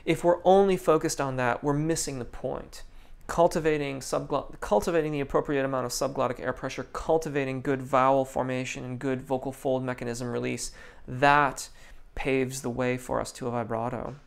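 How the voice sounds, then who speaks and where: A man's voice: mid-range voice, fairly even intonation, normal speed, creaky, clean tone. A man, a vocal coach, answering viewers' questions on a live stream, thoughtful and full of restarts and ums. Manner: reads viewer comments aloud.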